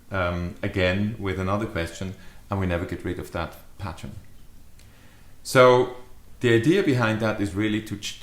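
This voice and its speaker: A man in his fifties giving a reflective, explanatory talk to camera as a leadership adviser, with measured, deliberate phrasing. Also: lowish voice. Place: small furnished study or living room, indoors. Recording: echoey living room.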